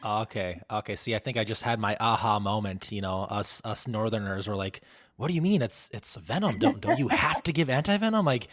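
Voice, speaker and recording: A man's voice: lowish voice, expressive, fairly quick, a bit bright, dry recording, studio quality. An American man hosting a podcast, chatting casually with his guests in an interview style. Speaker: podcast host.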